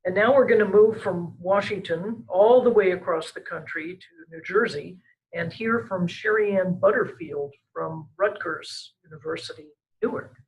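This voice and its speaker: Brisk webinar moderator, mid pitch, friendly handoffs. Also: muffled recording.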